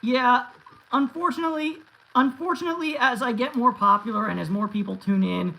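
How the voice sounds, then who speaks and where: A man's voice: very high-pitched, brisk, vocal fry, pushed and bright, poor audio. A man in his thirties chatting casually on a livestream and answering viewers, rambling with many restarts and fillers. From United States. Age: 30s. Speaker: YouTube host answering live chat.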